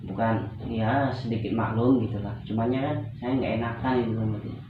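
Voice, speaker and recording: A man's voice: medium pitch, steady tone, fairly quick, very echoey. Man, Medan accent, hesitant, counselling client, muffled sound, faint noise. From Medan, North Sumatra, Indonesia. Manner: hesitant, repeats phrases. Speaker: client in a practice counselling session. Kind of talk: counselling conversation, talking about personal problems.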